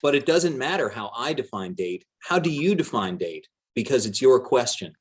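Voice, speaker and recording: A man's voice: medium pitch, big pitch swings, breathy edge. Man acting out an evasive, hair-splitting politician. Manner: deliberate, dodging, pedantic. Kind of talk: dramatic reading of a deposition transcript.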